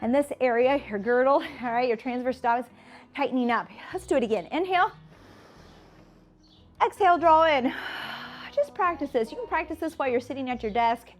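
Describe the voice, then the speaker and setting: A woman's voice: high voice, a little fast. A woman working as a fitness instructor, counting and cueing exercises in a chatty, encouraging way with self-deprecating asides, her nose a little stuffed up. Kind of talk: workout coaching, counting and cueing to camera.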